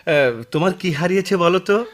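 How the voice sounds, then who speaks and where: A man's voice: high-pitched, expressive, fairly quick. A man acting as a simple-minded, jovial village elder brother in a Bengali radio drama, eager, teasing and repeating himself. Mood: teasing, excited.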